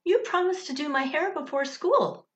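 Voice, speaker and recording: A woman's voice: high-pitched, moderate pace, lo-fi sound, pitched above the performer's own voice, lightly acted. A woman voicing an insistent, upset young girl in a children's storybook.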